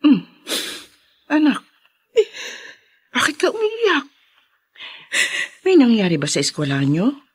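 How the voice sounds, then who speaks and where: A gentle, solicitous mother in a radio melodrama. Her intonation moves in lively swings, and the sound is dry and close. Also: a little high, takes it slowly, pauses often, breathy edge, no room sound, exaggerated voice. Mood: concerned. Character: caring, concerned mother of a schoolchild. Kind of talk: radio drama acting.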